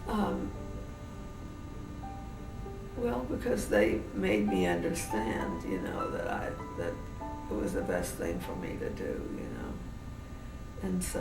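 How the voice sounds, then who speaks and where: A woman in her eighties from New Orleans, with no regional accent, telling fond memories in an unhurried way with soft chuckles. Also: rumbling low, creaky. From New Orleans, USA. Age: 80s. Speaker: interviewee. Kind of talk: reminiscing family interview. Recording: roomy sound from a distance, music behind.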